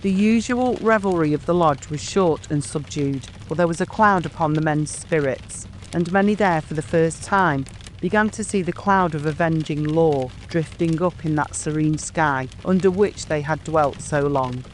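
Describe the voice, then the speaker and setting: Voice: medium pitch, moderate pace, poor audio. An audiobook narrator reads scripted story prose. The voice carries the narration between lines of dialogue, with a faint noise underneath it.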